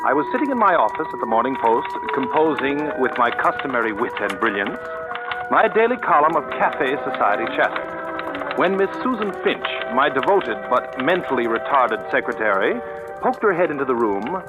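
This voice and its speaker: An actor playing a suave, witty, self-important newspaper columnist with an urbane, sardonic delivery in a 1940s radio drama. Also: male voice, mid-range voice, very animated, moderate pace, natural voice. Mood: wry, narrating. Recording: dull old radio broadcast, music behind.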